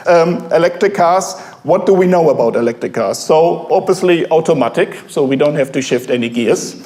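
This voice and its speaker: A German-accented professor giving a halting, informal talk to a café audience, full of fillers. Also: male voice, a little high, lively intonation, darkish tone, echoey room. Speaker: guest speaker, professor.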